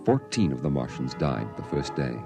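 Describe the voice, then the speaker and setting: A man narrating in a measured, somber way as the grown boy recalls the story, in 1950s radio drama style, from an old broadcast with music beneath. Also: very deep voice, very animated, exaggerated voice.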